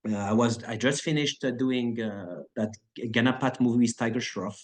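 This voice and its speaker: A man's voice: medium pitch, moderate pace. Chill guy in his 30s rambling thru an interview, lots of uh and like, choreographer type. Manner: relaxed, rambling, many fillers and restarts. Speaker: action choreographer and actor. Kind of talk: casual interview chat.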